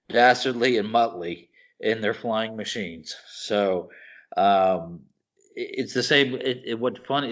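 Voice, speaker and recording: A man co-hosting a podcast in casual chat, excitable and rambling, often restarting his sentences. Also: fairly low pitch. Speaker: podcast co-host. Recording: dull lo-fi podcast audio.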